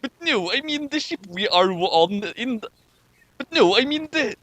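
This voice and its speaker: Comic fan-fiction crewman, played by a man, high-pitched, swooping, earnest Russian-style accent, halting and panicky. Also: expressive, fairly bright tone, no room sound, full character voice. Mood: flustered. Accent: attempted Russian. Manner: halting, repetitive, overdramatic.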